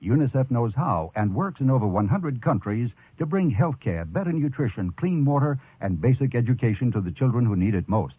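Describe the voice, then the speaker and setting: Narrow, phone-like and dry, this is a man's husky announcer voice. He reads an earnest script with lively intonation. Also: mid-range voice, a little fast, few pauses, no echo. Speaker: public service announcer.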